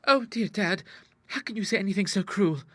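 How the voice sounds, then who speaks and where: A man's voice: sits high, lively intonation, brisk, sharp tone, no echo, voice pushed up, heavily acted. A man voicing a young Victorian daughter in an audiobook, girlish, affectionate and sweetly wheedling. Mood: pleading. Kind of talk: dramatized audiobook dialogue.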